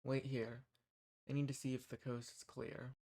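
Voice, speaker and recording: A man acts out an undercover rebel in a fantasy roleplay audio drama, speaking straight to the listener. His voice sits at an average male pitch. The phrasing is plain and folksy, that of a hunter with a secret cause. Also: level tone, normal speed, mellow, lightly acted. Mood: hushed, reassuring. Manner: folksy, reassuring.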